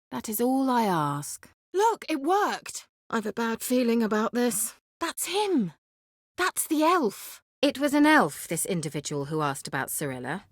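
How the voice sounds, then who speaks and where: An actress playing a self-assured, coy and haughty young sorceress in a video game, with articulate, teasing, theatrical delivery. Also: female voice, mid-range voice, no room sound, clean studio sound, lightly acted. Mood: urgent, calling out. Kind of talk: video game character acting.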